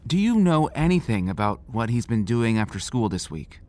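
A man playing a patient, paternal small-town sheriff in an audio drama, measured and reassuring but firm. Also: mid-range voice, big pitch swings, a little mellow, no room sound, lightly acted. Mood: questioning. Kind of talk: naturalistic audio drama acting.

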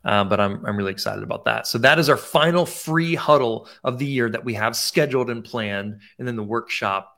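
A man's voice: mid-range voice, brisk, creaky, few pauses, pushed and bright. A man in his thirties hosting a casual online chat as a photography mentor, upbeat and chatty with frequent restarts. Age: 30s.

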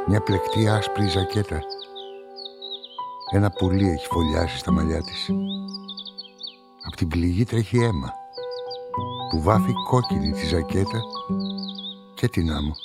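An actor playing a brooding journalist and amateur sleuth in a radio play, measured and introspective. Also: male voice, low-pitched. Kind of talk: radio play narration and dialogue. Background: music.

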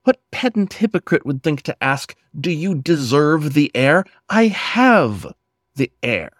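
A man reciting his own poem in an earnest, measured, dramatic way, with deliberate pauses between phrases. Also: on the high side, sing-song, fairly bright tone, no room sound, clean studio sound. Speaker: poet and podcast host reading own poem.

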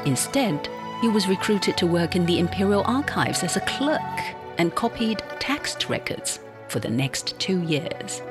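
A narrator reading a scripted historical story in an even, documentary-like way. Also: mid-range voice, unhurried. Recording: loud music bed behind the voice.

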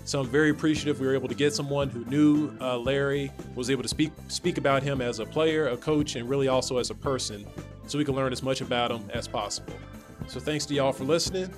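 An American man hosting a podcast, speaking in deliberate, formally phrased introductions and sign-offs. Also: mid-range voice. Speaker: podcast host. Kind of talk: scripted-sounding podcast hosting over music. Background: guitar music.

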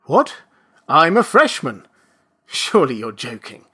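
Man reading as a prim, stern registrar, high and bright, audiobook. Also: big pitch swings, brisk, exaggerated voice. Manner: prim, rising to outraged shouting. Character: stern, indignant male college registrar. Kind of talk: audiobook character voice.

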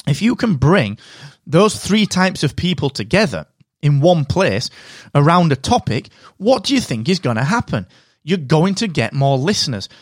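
A man's voice: fairly high pitch, no room sound, studio quality. Upbeat man, a bit nasal. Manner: energetic, direct, straight-talking advice. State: slightly nasal from a cold. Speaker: podcast host. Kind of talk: solo podcast monologue.

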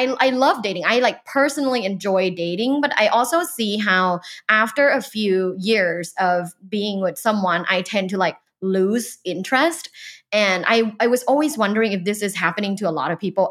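A woman's voice: mid-range voice, fairly bright tone. A woman from Thailand, a professor who also coaches people on dating. She speaks as a podcast guest in a loose, chatty way, full of "like" fillers.

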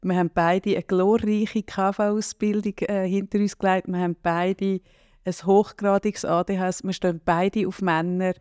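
A man's voice: high-pitched, little pitch movement, moderate pace, darkish tone, clear, resonant tone, dry recording, studio quality. A man in his late forties with a Swiss German accent, hosting a podcast in a casual, teasing chat and laughing often. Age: 40s. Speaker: podcast host.

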